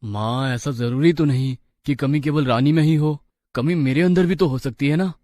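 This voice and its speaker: A man's voice: a little high, normal speed, mellow, no room sound, close to an everyday voice. A man playing an earnest, respectful young village husband and dutiful son.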